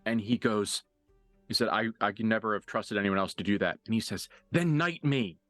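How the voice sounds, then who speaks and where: A man's voice: fairly low pitch, normal speed, a bit bright, no room sound, faint music behind. A man acting as game master, improvising narration and table talk in a live roleplaying game.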